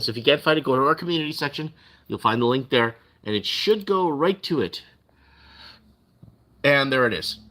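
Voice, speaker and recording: A man's voice: mid-range voice, pushed and bright. A man whose first language is Portuguese, hosting a casual live-stream news commentary, rambling and repeating himself. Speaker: host.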